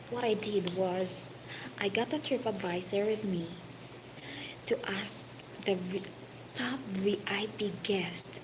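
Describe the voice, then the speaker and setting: Filipino woman telling a story real slow, lots of uh and um, kinda whispery at times, noisy background. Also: medium pitch. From Philippines. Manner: halting, many fillers, partly whispered. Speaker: hospitality worker telling a story. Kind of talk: casual storytelling to a friend.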